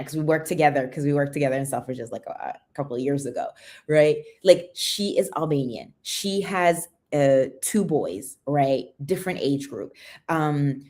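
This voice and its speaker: Low-voiced woman, chatty livestream host. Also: darkish tone. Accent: American. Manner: chatty, greets viewers, asks "right?" a lot. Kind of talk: casual livestream talk to viewers.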